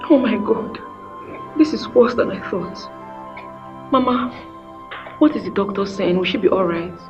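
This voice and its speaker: An actress with a Nigerian accent playing a concerned, gracious princess in a film melodrama. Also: female voice, medium pitch, measured pace, natural voice. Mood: shocked concern. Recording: dull lo-fi sound, music behind.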